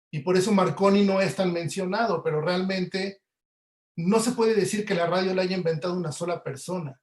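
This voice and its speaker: High-voiced Mexican man lecturing, echoey room. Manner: explanatory, often checks with tag questions. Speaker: lecturer, audio producer. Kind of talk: informal webinar lecture.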